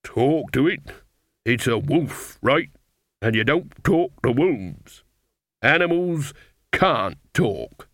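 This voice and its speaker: A man reading a story, voicing a boastful, slow-witted burly woodcutter who keeps adding "right?". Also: medium pitch, big pitch swings, a bit bright, dry recording, studio quality, heavily acted. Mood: gossipy. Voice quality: slow, blunt, tag-questions with "right?". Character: boastful burly male woodcutter, dim and gossipy.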